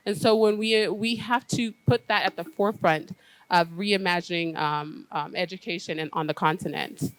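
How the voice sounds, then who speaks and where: A woman with an American accent speaking earnestly as a panelist at an education conference. She talks in steady, thoughtful stretches with frequent "um" fillers. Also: on the low side, normal speed, low-quality recording. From United States.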